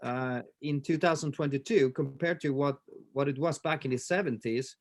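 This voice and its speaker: A man in his fifties with a Swedish accent, talking in a casual interview with many pauses, fillers and restarts. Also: mid-range voice. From Sweden. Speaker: martial arts instructor, guest.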